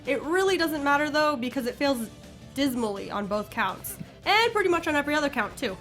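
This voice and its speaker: A woman with a fairly high voice co-hosts a casual movie panel. Her delivery is dry, and faint music plays behind her. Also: lively intonation, brisk, a bit bright. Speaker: co-host of a film review panel show.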